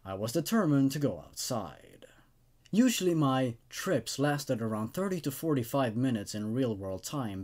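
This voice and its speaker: A man reading a scripted first-person horror story in a measured, dramatic storytelling manner. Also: medium pitch, darkish tone.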